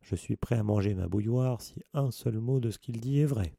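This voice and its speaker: A man voicing a huge, gruff, blunt gamekeeper, growling his lines. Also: medium pitch, moderate pace, mellow, no room sound, clean studio sound. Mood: grumbling.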